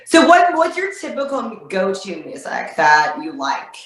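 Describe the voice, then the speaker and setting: Woman host, mid-pitched, gushing and eager, echoey room sound. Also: wildly swooping pitch, measured pace. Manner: gushing, enthusiastic interviewer. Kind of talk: casual interview chat.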